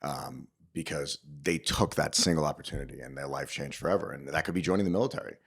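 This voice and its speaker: A man's voice: a bass voice, fast talker, creaky, husky. A man speaking emphatically and casually in an interview, giving opinionated advice with rhetorical check-ins. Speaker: interviewed business owner and show host.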